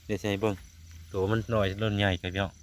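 A man's voice: fairly low pitch, level tone, racing. A man with an Isan accent chatting casually and folksily to his viewers as he vlogs outdoors at night. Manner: folksy, repeatedly addresses viewers. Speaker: vlogger hunting frogs at night. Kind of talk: casual vlog chat to viewers. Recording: outdoor recording with faint insect noise.

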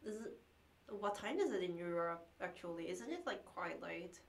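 A young woman whose first language is Korean, sick with the flu, chatting with livestream viewers in a small room, halting and restarting often with light laughs. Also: on the low side, animated, vocal fry, a little hiss or hum. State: has the flu. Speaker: cellist streamer. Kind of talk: casual livestream chat reading viewer messages. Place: small practice room indoors.

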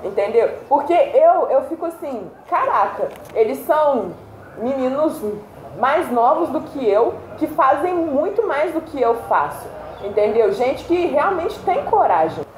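High-voiced laughing woman. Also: measured pace, slight background noise. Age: young adult. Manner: animated, laughs often while talking. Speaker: co-host. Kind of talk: casual chat. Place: indoor room against a plain wall. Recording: roomy echo.

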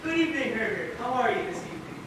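A young man on stage playing a fussy, flattering radio show boss in a theatrical, projected way. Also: very high voice, fast talker. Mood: brisk, flattering. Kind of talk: amateur stage play acting. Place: large indoor theater stage, far camera. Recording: echoey stage from far off, loud noise.

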